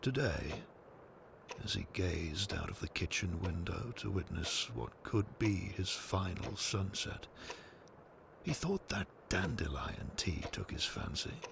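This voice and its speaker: A man narrates an audio drama in a low, gravelly voice. His storytelling is slow and measured, like a storybook being read out. There is clear noise and ambience behind him. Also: lively intonation.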